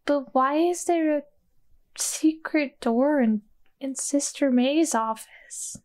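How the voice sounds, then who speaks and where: A woman's voice: sits high, slow pace, a little mellow. A woman playing a wary, puzzled young girl adventurer in a tabletop role-playing game.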